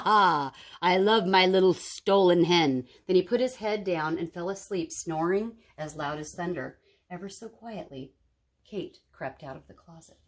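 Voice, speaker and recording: A woman reading a children's storybook aloud as a story-time host, dramatic and explanatory. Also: mid-range voice. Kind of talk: expressive children's storybook narration.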